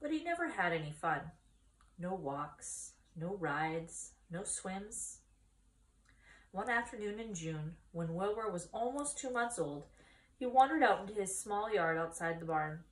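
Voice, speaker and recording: A woman in her thirties reads a children's book aloud. Her mid-pitched voice moves up and down expressively, recorded at home with audible room echo. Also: lively intonation, measured pace. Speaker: story reader. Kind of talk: children's storybook read aloud. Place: small indoor room against a bare wall.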